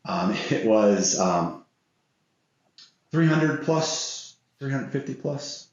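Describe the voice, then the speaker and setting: A middle-aged American man answering interview questions as a guest, earnest and measured in the way he talks. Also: mid-range voice, lively intonation. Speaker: interview guest, business executive. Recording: very echoey room, slightly dull sound.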